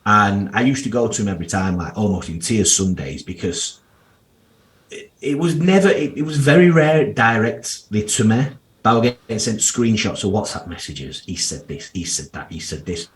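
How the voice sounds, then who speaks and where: A man in his thirties with a broad Yorkshire accent and a fairly low voice. He talks candidly and bluntly, dropping slang and the occasional swear word. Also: animated, moderate pace. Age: 30s. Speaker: guest financial planner. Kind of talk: casual podcast interview.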